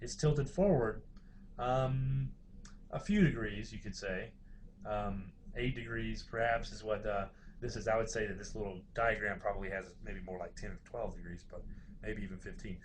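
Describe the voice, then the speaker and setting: A man's voice: lowish voice, normal speed. Man lecturing informally over background noise. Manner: hesitant, hedging, explanatory. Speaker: university lecturer.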